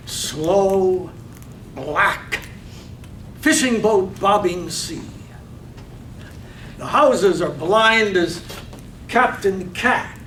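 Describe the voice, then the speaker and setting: A man narrating a poetic play from a script with hushed, deliberate, measured phrasing. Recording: roomy stage, far mic, faint rumble.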